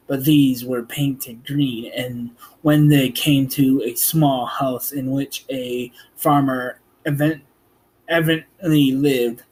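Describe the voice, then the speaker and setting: Slow, husky man reading a story. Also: medium pitch, a little mellow, rough recording. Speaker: host reading an audiobook. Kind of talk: amateur storybook narration.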